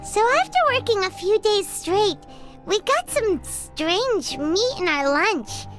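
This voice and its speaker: An extremely high, childlike fairy girl chatters like a game companion. The squeaky voice is animated and excitable, bouncing widely up and down, with music playing clearly behind it. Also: slow pace, heavily acted. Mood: chatty. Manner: speaks of herself in the third person, animated. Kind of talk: video game voice acting.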